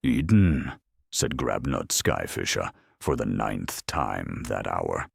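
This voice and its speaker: A man narrating a scripted dramatic story in a wry, deadpan manner. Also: very deep voice, slow, vocal fry, slight background noise.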